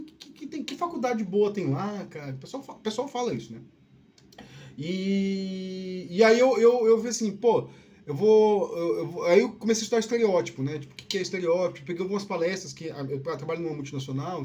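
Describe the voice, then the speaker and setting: Chatty man with softened Northeastern Brazilian accent. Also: high voice. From Northeast Brazil (Piauí family). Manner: rambling, many restarts and "né?" tags. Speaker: podcast host. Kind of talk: casual solo monologue.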